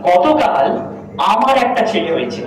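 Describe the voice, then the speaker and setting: A man's voice: high voice, sing-song, normal speed. A man playing an exasperated village husband in a comic audio drama, explaining his tangled family insistently, step by step. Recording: distant echoey hall recording with audience noise.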